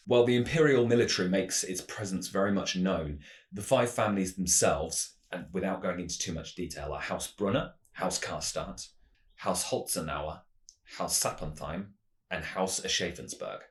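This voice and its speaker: A man narrating a fantasy tabletop adventure tutorial as a game master, with measured, dramatic storytelling phrasing. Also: deep voice, roomy sound. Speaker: host, game master giving adventure advice.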